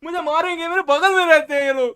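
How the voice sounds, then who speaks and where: A young man streaming live, chatting loudly and swearing, joking with his viewers. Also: very high-pitched, fairly even intonation, quick pace. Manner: loud, profane, joking, mixes Hindi and English, says bro a lot. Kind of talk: casual live-stream chat.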